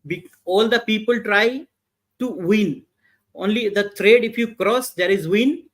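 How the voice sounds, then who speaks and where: Indian English male guest, middle-aged, halting interview delivery. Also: high-pitched. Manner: halting, many "uh" fillers and restarts. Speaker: guest entrepreneur. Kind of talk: interview answers, casual storytelling.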